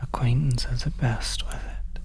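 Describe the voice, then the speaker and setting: Horror ASMR narration, soft breathy whisper, very even pitch, slow deliberate delivery, hushed, long pauses. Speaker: audio roleplay narrator. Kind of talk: horror ASMR, second-person scripted narration.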